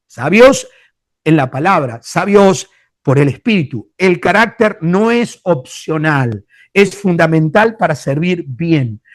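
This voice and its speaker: A male pastor with a Rioplatense Spanish accent teaches from the Bible in a high, bright-pitched voice. He speaks emphatically, hesitates often, and addresses his listeners fondly. The recording is close and dry, with no room echo. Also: animated, slow.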